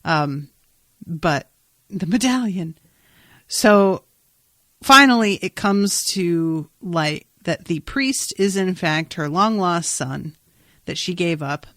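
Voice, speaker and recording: A woman's voice: on the low side, animated, takes it slowly, a bit bright, dry recording, clean studio sound. A woman podcast host talking through a TV episode in an informal, rambling way with exclamatory asides. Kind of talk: casual TV recap chat.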